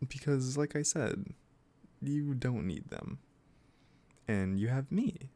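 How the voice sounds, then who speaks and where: A man playing an obsessive, lovesick kidnapper in a roleplay audio drama, soft-spoken, hesitant and possessively sweet as he addresses the listener. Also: mid-range voice, expressive. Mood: awkward, reassuring. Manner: slow, hesitant, gently coaxing.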